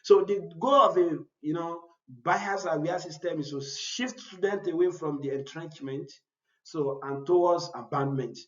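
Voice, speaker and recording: A man's voice: on the high side, normal speed. A man, a Yoruba speaker, presenting a research paper and answering his own rhetorical questions. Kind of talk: academic conference presentation. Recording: roomy, dull-sounding audio.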